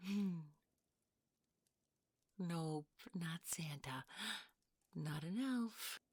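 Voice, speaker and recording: A woman playing a sweetly menacing, possessive Mrs. Claus in an intimate audio roleplay, cooing and teasing in a breathy, husky, often whispering voice. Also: lowish voice, animated, a little mellow, no echo, full character voice. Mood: cooing, coy. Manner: slow, cooing, teasing, condescending.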